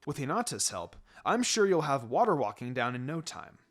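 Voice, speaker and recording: A man voicing a calm, wry ninja teacher in a story reading, measured, firm but encouraging. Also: mid-range voice, voice pushed down. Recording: music behind the voice.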